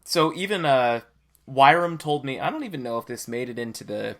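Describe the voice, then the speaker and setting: A man with a clearly bright, forceful voice talks casually as a podcast host. His delivery is enthusiastic and emphatic, wandering through his thoughts in a loose, conversational way. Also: medium pitch, animated, brisk. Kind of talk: casual chat about a game.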